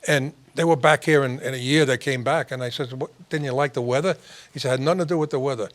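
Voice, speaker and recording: A man's voice: medium pitch, fast talker. A man hosting a casual interview, repeating himself and laughing often. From New York. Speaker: host.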